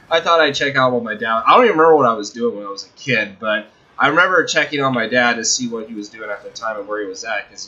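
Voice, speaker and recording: A young man hosting a video, telling a personal story casually in a halting, rambling, self-correcting way. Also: medium pitch, pushed and bright, lo-fi sound. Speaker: video host.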